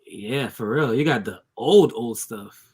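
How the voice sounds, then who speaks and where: A man's voice: mid-range voice, unhurried, a little mellow, husky. A Haitian-born man whose first language is French, co-hosting a podcast in casual chat, laughing often and agreeing readily. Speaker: podcast co-host.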